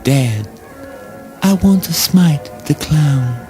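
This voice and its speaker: Man playing a slow, mellow, pleading son. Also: on the high side, animated, faint music behind. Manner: halting, plaintive, drawn-out phrases. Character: dim, pleading son devoted to his father. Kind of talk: theatrical spoken word audio play.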